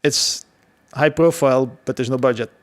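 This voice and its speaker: A man, telling his story as a podcast guest in a casual, halting way. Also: mid-range voice. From Belgium. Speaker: podcast guest, security professional.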